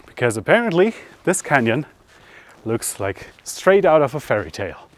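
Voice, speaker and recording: A man's voice: mid-range voice, big pitch swings, moderate pace, no echo. A man hosting a travel vlog, talking casually and conversationally to camera outdoors, often thinking aloud. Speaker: travel vlogger, host. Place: outdoors by roads, lakeside and mountains.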